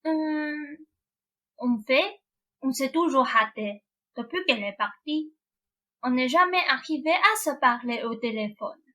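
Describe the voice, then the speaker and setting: A woman's voice: high-pitched, animated, measured pace, bright, forceful tone, heavily acted. A woman playing a naive, sulky young prince in a student French radio play. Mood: guarded, weary.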